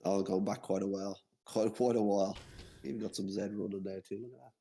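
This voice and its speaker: A man's voice: fairly low pitch, steady tone, vocal fry, dark tone. An Irish man in a casual chat, explaining a game in a rambling way and repeating himself often. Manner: rambling, repeats himself, quick to laugh at his slips. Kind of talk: casual video-call chat.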